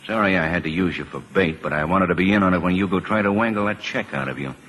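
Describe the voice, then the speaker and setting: A man's voice: deep voice, quick pace, a bit bright. An actor playing a wry, folksy, fatherly government lawman in an old-time radio drama. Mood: calm, firm. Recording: old radio broadcast recording.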